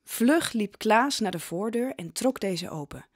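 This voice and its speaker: A woman narrates a scripted tale for an audio drama. Her pitch is average for a woman. The recording is dry, with hardly any room sound. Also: expressive, unhurried, dry recording.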